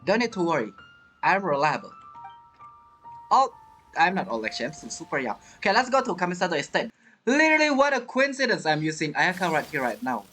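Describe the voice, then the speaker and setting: Game streamer, excitable, dramatic, very varied pitch, repeats phrases, light game music behind. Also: fairly quick. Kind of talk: casual gameplay commentary, reacting to story.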